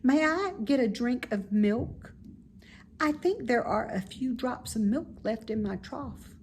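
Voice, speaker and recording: A woman plays a little storybook pig, a young male who is anxious and eager to please. The voice sits high and bounces widely in pitch, with a polite, pleading manner. Some noise sits behind it. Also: lively intonation, slow, darkish tone. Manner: pleading, polite, full of questions.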